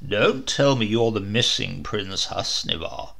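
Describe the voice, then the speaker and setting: A man voicing a gruff medieval knight who mocks and sneers. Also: fairly low pitch, expressive, measured pace, fairly bright tone, voice pushed down, heavily acted. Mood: mocking. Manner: mocking, sneering.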